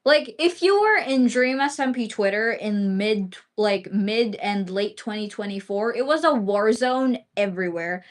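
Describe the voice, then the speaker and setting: A woman's voice: mid-range voice, measured pace, hardly any pauses. Woman streamer from the Philippines, Bisaya speaker, loose rambling chat full of fillers and casual swearing. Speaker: livestreamer.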